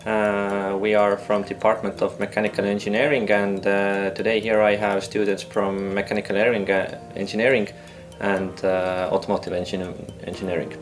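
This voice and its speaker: A man from Estonia with a low, flat voice introduces his team, hesitating between phrases. He sounds distant from the mic, with faint music behind. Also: normal speed. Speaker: team lead.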